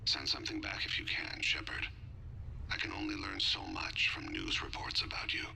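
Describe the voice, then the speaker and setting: Dying alien assassin, video game, whispery formal murmur, lively pitch swings. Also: male voice, slight background noise, exaggerated voice, poor audio. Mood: quiet, wistful. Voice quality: hushed, breathy, gravelly. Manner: measured, formal, softly reflective. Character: dying calm male reptilian alien assassin.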